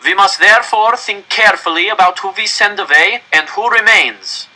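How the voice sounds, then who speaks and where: Man acting a desperate director, pitched high and forceful. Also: normal speed, heavily acted, rough recording. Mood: grave, insistent. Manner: loud, pleading oratory in emphatic phrases. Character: desperate male council director addressing an angry crowd.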